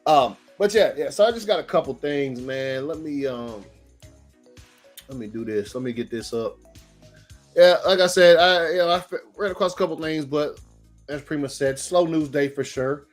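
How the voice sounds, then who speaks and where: A man's voice: fairly high pitch, moderate pace. Dude hosting his daily stream, kinda scratchy throat, slangy and laid back, faint music going behind him. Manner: loose, slangy, laughs often. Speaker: host of a daily online show. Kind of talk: casual livestream chat.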